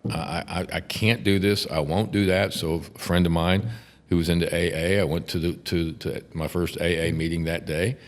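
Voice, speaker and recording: Older Texan defense-lawyer type, gravelly and very low, halting and profane; long reverb. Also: male voice, fairly even intonation. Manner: blunt, profane, halting restarts. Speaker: podcast host, defense attorney. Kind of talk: casual podcast chat.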